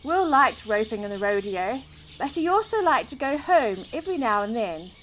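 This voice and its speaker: A woman's voice: a little high, sing-song, normal speed, a bit bright. A woman narrating a children's story expressively, putting questions to the listener. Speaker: storybook reader. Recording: outdoor background noise with birdsong. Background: birdsong.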